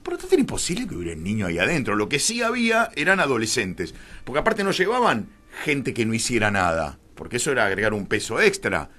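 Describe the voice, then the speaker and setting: A man's voice: mid-range voice, big pitch swings, quick pace, sharp tone. A man with a Rioplatense Argentine accent, a radio guest explaining a theory in an emphatic, digressive, conversational way, often correcting himself.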